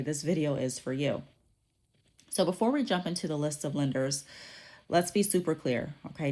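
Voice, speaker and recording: A woman's voice: low voice, a little mellow. A woman hosting her own podcast, explaining business topics in a conversational way while congested from a cold, often checking in with "okay?". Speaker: podcast host.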